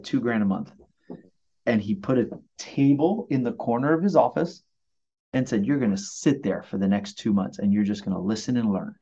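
A man in his forties, born in Puerto Rico and raised in Brooklyn, chatting as a mortgage loan officer and telling animated stories with many fillers. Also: medium pitch, dull audio. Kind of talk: casual storytelling interview.

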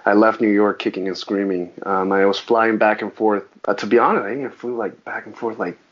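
A man's voice: fairly low pitch, a little fast. A man who grew up speaking Mandarin, a guest on a casual interview podcast, rambling with lots of restarts. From Southern California. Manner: rambling, frequent restarts, animated on sports. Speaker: guest, actor and musician. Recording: dull lo-fi podcast audio.

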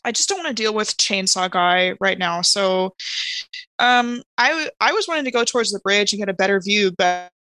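A woman's voice: medium pitch, moderate pace, sharp tone, no room sound. A woman playing a tabletop roleplaying game, chatting casually and animatedly, half in character.

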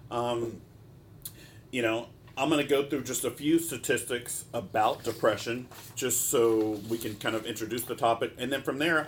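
A man with a stopped-up nose hosting a solo podcast in an informal, halting and discursive way, often drifting into asides. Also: medium pitch, slight background noise. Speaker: podcast host, mental health practitioner.

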